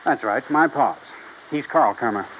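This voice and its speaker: A man's voice: mid-range voice, lively intonation, moderate pace, close to an everyday voice. A man playing a pushy, persuasive young rancher fiancé in a 1950s radio drama, insistent in manner. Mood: guarded, assertive. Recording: muffled, hissy old radio recording.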